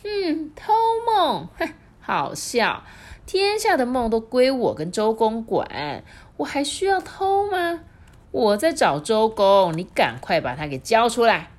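A fiery old goddess of dreams bursts out in shrill, scolding cries with a wildly swinging pitch, as a woman storyteller puts her on. She is jealous and hot-tempered, every line a loud reproach. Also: sing-song, slow pace.

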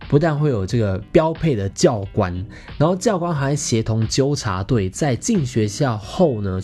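A man's voice: fairly low pitch, a little mellow. A man in his thirties from Taiwan with a Taiwanese Mandarin accent, hosting a podcast in a casual storytelling style with playful, self-mocking humour. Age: 30s. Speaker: podcast host.

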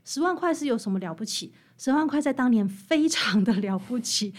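A woman's voice: fairly high pitch, lively intonation, fairly quick. A woman with a Taiwanese Mandarin accent speaking as an interview guest, explaining and telling stories in an emphatic, conversational way. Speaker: guest author interviewed about her book.

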